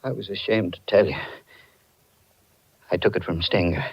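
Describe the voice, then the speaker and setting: A man's voice: low voice, steady tone, normal speed, slightly rough. An actor playing an anxious, desperate married man with a guilty secret, in earnest, dramatic 1940s radio style. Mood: ashamed, desperate. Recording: dull, band-limited 1940s radio recording.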